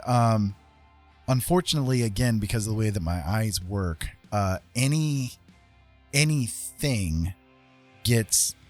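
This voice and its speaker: A man's voice: on the low side, breathy edge. A man hosting a livestream, chatting casually and telling personal stories in a rambling, informal way. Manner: rambling, informal, frequent "like" and "you know". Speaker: livestream host. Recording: soft music behind the voice.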